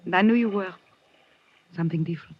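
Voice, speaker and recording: An actress playing a worldly, romantic femme fatale in a 1950s radio drama, with a halting, seductive delivery. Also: female voice, on the low side, close to an everyday voice. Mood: seductive, wistful. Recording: muffled old radio recording.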